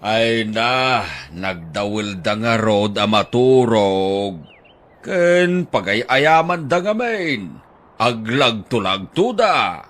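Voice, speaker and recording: Slow, lively-voiced fatherly man. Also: lowish voice. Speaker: actor in audio drama. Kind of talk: Ilocano radio drama acting.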